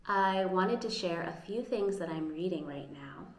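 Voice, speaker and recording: A woman's voice: lowish voice, a little slow, slight background noise. An American woman vlogging casually at home, earnest and upbeat, sometimes restarting her sentences. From United States. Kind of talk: casual vlog talk. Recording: echoey room with faint ambience.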